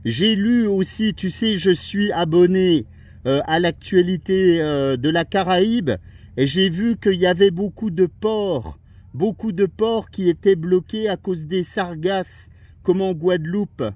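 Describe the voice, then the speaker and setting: A man with a fairly high, mellow voice talks casually as an amateur radio operator. He tends to repeat short words and phrases as he goes. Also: unhurried, no room sound. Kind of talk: casual radio contact chat.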